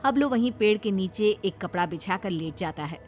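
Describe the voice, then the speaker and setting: A woman's voice: medium pitch, animated, moderate pace, music in the background. A woman storyteller reading a scripted tale as narrator for a children's story.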